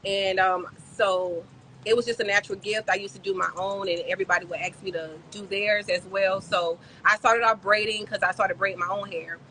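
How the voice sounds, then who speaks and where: A woman in her thirties, from the Bahamas and raised in South Florida, telling her story as a podcast guest in a relaxed, chatty way with many "um"s and "like"s. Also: mid-range voice, a little fast, slight background noise, low-quality recording. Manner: warm, chatty storytelling with frequent "um" and "like". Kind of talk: casual interview chat.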